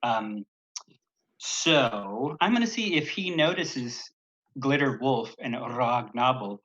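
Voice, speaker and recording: A man running a tabletop game as dungeon master, talking in a rambling way full of fillers and small laughs. His voice is bright and forward, at a middling pitch. The stream audio sounds slightly dull and lo-fi. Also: expressive, takes it slowly. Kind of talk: narrating a tabletop game livestream.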